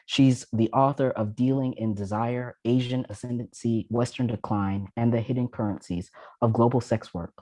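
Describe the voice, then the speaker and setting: A man introduces a panel, reading each speaker's biography from prepared notes. His voice is mellow and unforced, and the recording is dry and close. He pauses now and then on a filler sound. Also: on the low side, no echo, low-quality recording. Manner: measured, reads from notes with some filler "uh"s. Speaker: event host introducing panelists.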